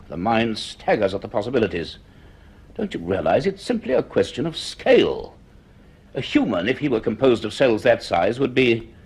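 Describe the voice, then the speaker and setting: An actor playing a grave university professor in a radio drama, speaking in a deliberate, earnest, emphatic way with thoughtful pauses. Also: male voice, lowish voice, slightly rough, exaggerated voice. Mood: awed. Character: grave male university professor recounting a mystery. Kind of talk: radio drama acting, part notes, part dialogue.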